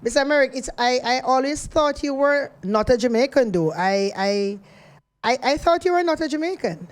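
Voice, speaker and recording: A woman's voice: sits high, lively intonation, normal speed. A woman hosting a radio interview, chatty and often repeating words as she searches for them. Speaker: radio host.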